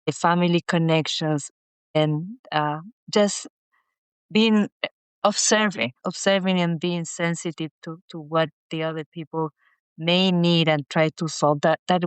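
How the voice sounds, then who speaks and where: A middle-aged woman from Chile, a guest speaking haltingly with many restarts and repeated words in a casual interview. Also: low voice, fairly even intonation, smooth, dry recording. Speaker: guest, inventor.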